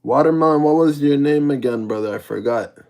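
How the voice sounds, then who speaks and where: A man's voice: on the high side, a little fast, creaky, a little mellow. A young man live-streaming in public, chatting casually with his viewers and thanking them by name. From mixed Jamaican and British heritage. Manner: laid-back, thanks chatters by name, talks to chat. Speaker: IRL streamer.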